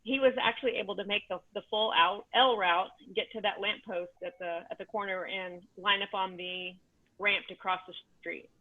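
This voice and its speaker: A woman's voice: mid-range voice, moderate pace. Chatty woman over a tinny line. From Texas. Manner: chatty, many fillers, animated storytelling. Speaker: mobility instructor. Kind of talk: casual anecdote on video call. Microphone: video call.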